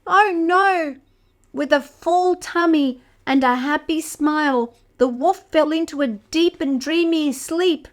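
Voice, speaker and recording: A woman with a fairly high voice reads a children's story aloud. She takes it very slowly and expressively, addressing her young listeners directly as a storyteller would. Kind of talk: read-aloud picture book narration for toddlers.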